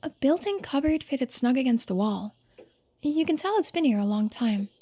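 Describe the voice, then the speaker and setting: Woman voicing a soft, high-pitched, thoughtful young game character. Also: lively intonation, moderate pace. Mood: musing. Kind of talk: voiced game dialogue and narration.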